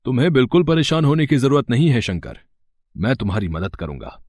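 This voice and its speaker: Man acting a kindly rich village landlord, husky. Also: mid-range voice, very animated, a little mellow, dry recording, clean studio sound. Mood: reassuring. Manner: measured, earnest, reassuring. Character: kind, rich middle-aged village landlord, earnest benefactor.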